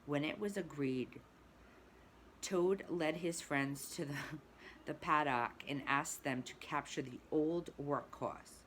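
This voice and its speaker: Slow, expressive teacher reading to kids. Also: female voice, low voice, a little hiss or hum. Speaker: pre-K teacher. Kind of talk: children's read-aloud.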